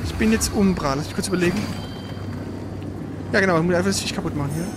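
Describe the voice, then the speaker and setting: A gamer giving casual, colloquial commentary on their own play, exclaiming readily and swearing mildly, with an excitable manner. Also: mid-range voice, fast, heavy background noise.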